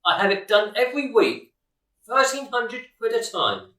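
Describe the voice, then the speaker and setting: A woman's voice: fairly high pitch, big pitch swings, normal speed, a bit bright. A woman acting a vain, cocky footballer in a radio play, defensive and dismissive in manner. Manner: dismissive, stubborn. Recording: roomy, distant microphone.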